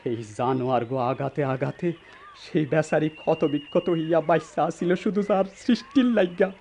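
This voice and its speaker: A man's voice: a little high, a little fast, gravelly, darkish tone, a little hiss or hum. An actor playing a righteous, anguished young man with a rural Bangladeshi accent, declaiming accusingly in a radio drama. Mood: anguished, resolute. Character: righteous, anguished grown son defying his wicked father.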